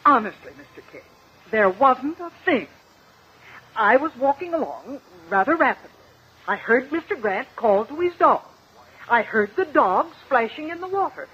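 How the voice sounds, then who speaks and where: Prim defensive lady on the witness stand, very raspy, swoopy voice, old radio drama. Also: high-pitched, sing-song, moderate pace, heavily acted. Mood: earnest, insistent. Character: prim, self-assured, defensive married woman witness. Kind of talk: 1950s radio courtroom drama acting. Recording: dull, lo-fi vintage radio recording.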